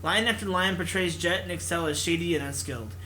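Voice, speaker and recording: A man hosting a let's play, reading a visual novel aloud in an expressive narration. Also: fairly high pitch, a little fast, a little hiss or hum, low-quality recording. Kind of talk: expressive read-aloud narration with casual asides.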